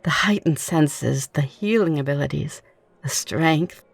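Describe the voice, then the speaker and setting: Casting: actress for courteous fledgling vampire lady, low voice, close dry sound, hopeful and inquisitive, ASMR roleplay. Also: a little slow, gravelly, no echo, exaggerated voice. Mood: curious, upbeat. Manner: formal, genteel, reassuring phrasing. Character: optimistic, curious, courteous newly turned vampire woman. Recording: music behind the voice. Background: music.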